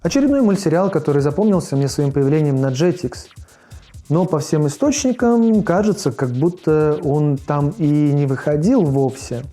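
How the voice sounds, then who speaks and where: Male video host, slightly high-pitched, casual scripted review narration over soft background music. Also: lively intonation, moderate pace. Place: voice-over with faint music behind.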